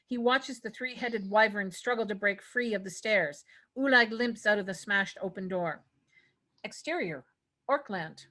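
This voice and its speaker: Woman narrating a script read, crisp stage directions, really bright forceful voice. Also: medium pitch.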